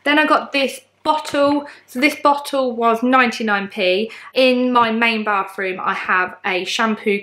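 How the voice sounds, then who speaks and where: A woman's voice: mid-range voice, fairly bright tone. Upbeat woman in her thirties, casual chatty haul vlogger talking straight to camera from her living room. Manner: chatty, upbeat, lots of ums, shows each item to camera. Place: bright home living room, indoors.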